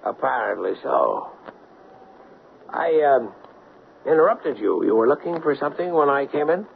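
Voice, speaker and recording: Wry, dogged former police sergeant, very animated and creaky, sardonic 1970s radio drama delivery. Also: male voice, medium pitch, moderate pace. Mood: wry, probing. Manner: sardonic, probing. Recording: dull lo-fi 1970s radio broadcast, hissy.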